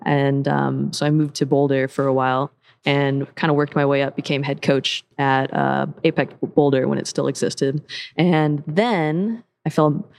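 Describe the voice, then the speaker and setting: A woman's voice: a bass voice, steady tone, measured pace, gravelly, a little mellow. A woman in her thirties from Seattle with an American accent, chatting openly and laughing often in an interview, with lots of "like" and "um". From Seattle, USA. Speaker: parkour coach and program director. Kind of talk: casual interview chat.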